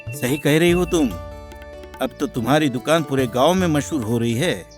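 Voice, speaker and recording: A man's voice: mid-range voice, normal speed, faint music behind, natural voice. A man playing a proud, supportive husband in a moral-story cartoon, speaking earnestly and firmly with challenging questions. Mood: encouraging. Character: proud, supportive young husband, firm and principled.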